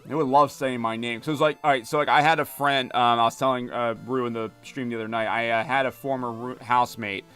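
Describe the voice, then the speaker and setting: A talkative young man chats loosely while streaming, full of fillers and little jokes. His voice is smooth and clear at a middling pitch. Soft music sits under his voice. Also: steady tone, fast talker, few pauses. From United States. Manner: rambling, talkative, many fillers, reads chat aloud and jokes. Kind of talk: casual live-stream chat while gaming. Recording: music playing behind.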